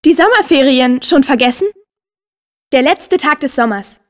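A woman playing a friendly, upbeat teenage girl in a dubbed video game, with an earnest, expressive delivery. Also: high voice, moderate pace. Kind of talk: German video game dubbing.

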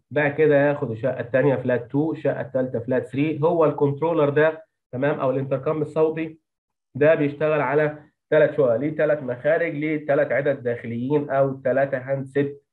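An Egyptian man teaches like an engineering instructor walking through slides. He talks quickly, at a middling pitch, and mixes English technical terms into his Arabic accent. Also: phone-quality sound. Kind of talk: lecture explaining technical slides.

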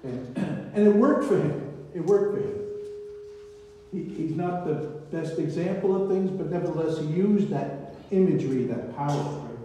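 A man's voice: a little high, moderate pace, noisy background. An American man in his seventies giving an informal, digressive sermon in a conversational way, often checking in with "right?". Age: 70s. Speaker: preacher. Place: small church hall indoors. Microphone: far camera or phone. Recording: echoey hall, distant camera microphone.

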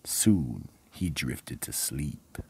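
An extremely deep male narrator with a heavy fry in the voice hosts a radio mystery theatre. He is slow and deliberate, delivering the script with ominous drama. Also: lively intonation, darkish tone. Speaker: narrator and horror anthology host.

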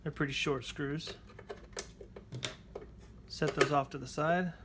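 A man's voice: on the high side, steady tone, takes it slowly, noisy background. A man hosting a casual repair tutorial, chatting informally as he talks viewers through the steps. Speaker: how-to channel host. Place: indoors at a table at home.

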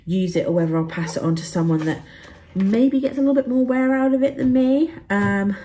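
A young woman talks through her shopping in a relaxed, chatty vlog. Her voice is mellow and soft-edged, sitting at an ordinary middle pitch, and she fills her sentences with easy fillers. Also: fairly quick, a little hiss or hum. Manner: chatty, upbeat, lots of fillers. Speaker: travel and beauty vlogger. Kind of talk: casual haul chat to camera.

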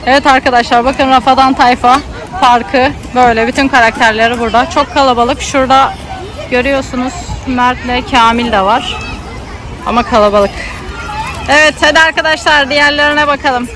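High-pitched woman vlogging amid loud crowd. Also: steady tone, fairly quick. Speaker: family vlogger and mother filming her child. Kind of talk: casual vlog narration for children. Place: crowded outdoor children's theme park. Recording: noisy crowded outdoor recording, loud background. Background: loud crowd and outdoor ambience.

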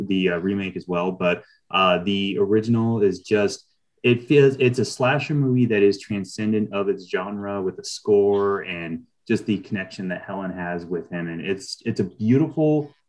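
Low-pitched man chatting in an interview. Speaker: writer, interview guest. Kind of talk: casual interview chat. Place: indoors on a video call. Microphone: computer video call.

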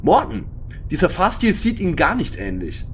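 A man's voice: sits high, wildly swooping pitch, moderate pace, a little hiss or hum. A man playing a stiff, exasperated young detective in an audio drama parody. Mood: earnest.

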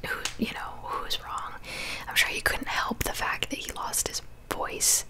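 A young woman in her twenties whispering casual gossip chat for an ASMR video, close to a studio microphone in a small bedroom. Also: unhurried. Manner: chatty, conversational whisper. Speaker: ASMR creator. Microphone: large studio mic close to the mouth.

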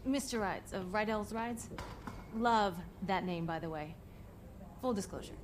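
A woman's voice: medium pitch, unhurried, background noise, natural voice. Actress as cheeky, wry young female hustler. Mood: persuasive, breezy. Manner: wry, teasing, slangy. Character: cheeky, self-assured young woman, wheeler-dealer racing fan. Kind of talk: video game voice acting, casual banter.

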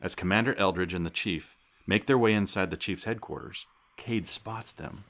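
Low-pitched grandfather storyteller played by a man. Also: moderate pace, fairly bright tone. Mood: suspenseful narration. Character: grandfather telling his grandchild a space saga. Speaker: narrator. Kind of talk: storytelling narration. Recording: clear background noise.

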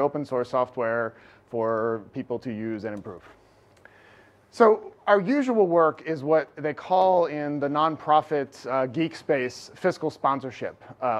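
A middle-aged man giving a conference talk from slides in a large lecture hall, projecting loudly and energetically. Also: a little high, muffled recording. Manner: energetic, loud, projecting without amplification. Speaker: conference speaker. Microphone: room recording mic, no lapel.

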